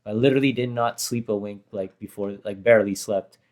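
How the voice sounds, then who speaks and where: Hoarse man telling a rambling story. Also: fairly low pitch, steady tone, fairly quick, darkish tone. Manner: rambling, self-correcting storyteller. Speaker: rapper reacting to his own performance. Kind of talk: casual chat.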